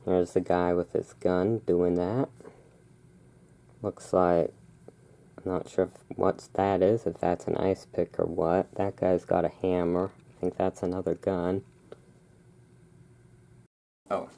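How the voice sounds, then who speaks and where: A host reading aloud in a relaxed ASMR style, with informal, self-deprecating asides and rain and thunder behind. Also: low-pitched, slow pace, long pauses. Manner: informal, self-deprecating, stumbles over foreign words. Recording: clear background noise of rain and thunderstorm. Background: rain and thunderstorm ambience.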